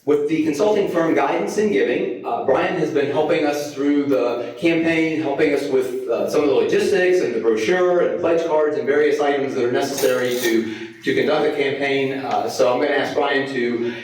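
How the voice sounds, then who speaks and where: A man leading a parish fundraising campaign, giving plain, measured opening remarks with a few fillers. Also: mid-range voice, a little mellow. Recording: distant mic in a very echoey hall.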